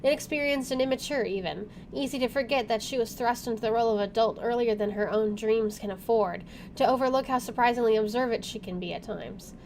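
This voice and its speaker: A woman's voice: fairly high pitch, fairly quick, a little mellow, a little hiss or hum. A woman playing a visual novel, reading the story aloud and dropping in casual asides. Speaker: game player, channel host.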